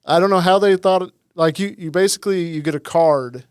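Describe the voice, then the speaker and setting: Young man, podcast host, easygoing, smooth resonant voice, slightly high, dry sound. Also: a little fast, dry recording. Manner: easygoing, laughs a lot. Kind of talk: casual interview chat. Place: podcast studio.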